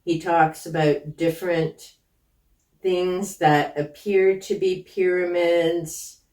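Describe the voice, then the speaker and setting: A woman's voice: lowish voice, fairly even intonation, takes it slowly, a little mellow, recorded from a distance. A woman whose first language is Hungarian, talking casually and skeptically about books she read, rambling with side thoughts. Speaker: book vlogger. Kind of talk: casual book review talk. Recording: echoey room, voice from off-mic.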